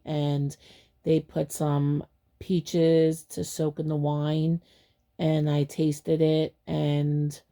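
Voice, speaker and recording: A woman's voice: sits low, warm tone. A woman hosting a faceless storytime channel, telling a personal story in a rambling, chatty way and acting out her own reactions. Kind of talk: casual storytime monologue.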